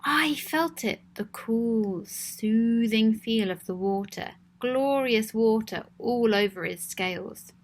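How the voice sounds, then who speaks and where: Gentle woman reading a children's story. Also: a little high, slow pace, few pauses. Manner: gentle, expressive storybook narration.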